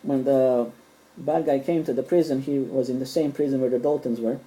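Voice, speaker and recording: Mellow-voiced man with a Serbian native tongue. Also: mid-range voice, steady tone, normal speed, low-quality recording. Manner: rambling, hesitant with frequent fillers and restarts. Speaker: collector reviewing comics. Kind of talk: casual collector show-and-tell. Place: small room at home.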